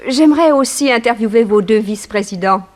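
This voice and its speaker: An actress playing a polite, inquisitive newspaper reporter in a 1960s French radio drama, poised and gracious. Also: female voice, fairly high pitch, brisk, a little mellow, close to an everyday voice.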